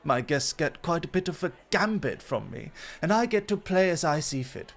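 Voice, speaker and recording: A man's voice: fairly high pitch, big pitch swings, normal speed, creaky. A man playing a suave, teasing dog host at a club in a flirty ASMR roleplay, with polished, coy, formal phrasing.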